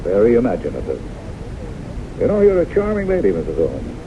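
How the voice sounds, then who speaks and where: Casting: courteous doubting doctor, low and animated, urbane, probing, vintage radio play. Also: male voice, a little fast. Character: skeptical, courteous doctor questioning a patient in his office. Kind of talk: old-time radio drama acting. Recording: dull, noisy old radio broadcast.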